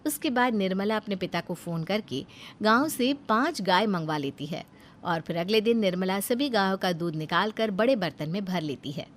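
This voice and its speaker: A woman reads a children's cartoon story aloud in an even, storytelling delivery. Her voice sits at an ordinary middle pitch. Faint noise hums underneath. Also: moderate pace. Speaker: narrator. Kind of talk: scripted storybook narration.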